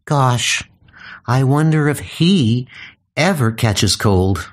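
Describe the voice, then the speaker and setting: A woman's voice: very deep voice, animated, takes it slowly, full character voice. A woman playing a caring, fussy, mothering nurse in a comic audio drama. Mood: musing, flustered. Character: fussy, caring female nurse to a frail doctor.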